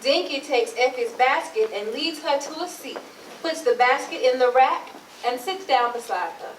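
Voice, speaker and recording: A woman's voice: sits high, animated, normal speed, slight background noise. A woman giving scripted narration, reading out a play's characters and stage directions. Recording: echoey, distant, music behind.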